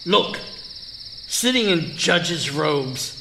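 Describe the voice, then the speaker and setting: A man's voice: fairly high pitch, animated, extremely slow, creaky, background noise, heavily acted. An actor playing a wry, bossy male grave thief in a theatrical audio drama, commanding and sardonic. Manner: commanding, hushed urgency, sardonic. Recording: night insect ambience behind.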